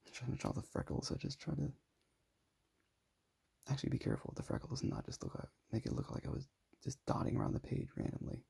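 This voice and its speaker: Raspy, soft-spoken young man. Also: mid-range voice, huge pitch swings, fast, pauses often. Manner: soft-spoken, self-deprecating, rambling. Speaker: vlogger showing his sketchbook. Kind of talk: casual talking over a sketchbook tour.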